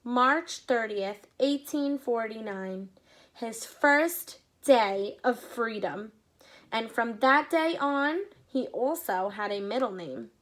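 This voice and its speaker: A woman teacher reading a picture book aloud to children, with expressive pacing and short explanatory asides. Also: on the high side, slow.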